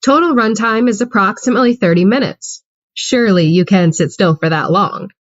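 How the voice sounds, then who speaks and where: A woman's voice: medium pitch, a little fast, dry recording, dull audio. A woman giving a wry, scripted introduction to an amateur radio play over a video call. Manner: dry, wry. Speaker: narrator and stage manager.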